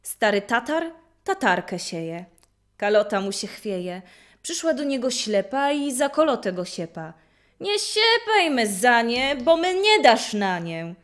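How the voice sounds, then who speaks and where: Woman as a lively village carnival rhymester. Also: mid-range voice. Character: village lad in costume reciting comic carnival rhyme. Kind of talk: folk rhyme recitation.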